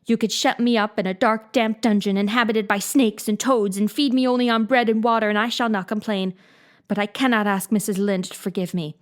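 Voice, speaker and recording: A woman's voice: medium pitch, fairly even intonation, brisk, close to an everyday voice. A woman voicing a fiery, imaginative young orphan girl who speaks in an impassioned, pleading way. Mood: defiant.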